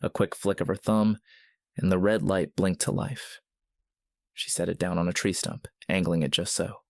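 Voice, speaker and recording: Deep, even-toned male narrator. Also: moderate pace, creaky, dry recording, clean studio sound. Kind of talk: scripted story narration.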